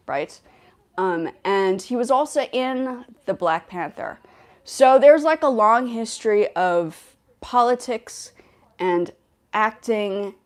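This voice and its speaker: A young woman hosting a casual livestream, talking in a rambling way with many fillers and restarts. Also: fairly low pitch. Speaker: livestream host.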